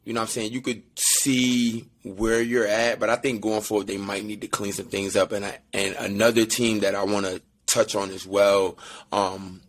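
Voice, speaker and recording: A man with a hoarse, raspy voice from yelling, co-hosting a casual sports chat in a laid-back, slangy, rambling way. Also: fairly low pitch, little pitch movement, normal speed. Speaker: podcast co-host. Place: away from home, remote call.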